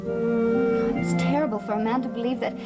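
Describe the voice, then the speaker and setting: A woman's voice: on the high side, normal speed, over loud music, close to an everyday voice. An actress playing a guarded, earnest young woman in a gothic soap drama, speaking plainly. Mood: wistful, pleading. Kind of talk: 1960s-70s gothic TV soap acting.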